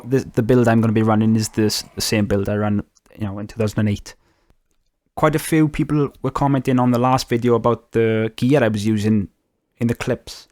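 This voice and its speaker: A man's voice: fairly low pitch, darkish tone, no echo. A Welsh man giving casual, chatty game commentary, explaining details with fillers and the odd swear word. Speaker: gaming YouTuber.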